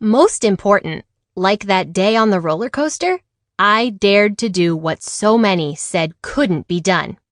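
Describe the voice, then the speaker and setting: A woman reading a children's picture book aloud in an expressive way, acting out the dialogue. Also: medium pitch, measured pace, no echo, clean studio sound. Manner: animated, acts out dialogue. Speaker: read-aloud storyteller.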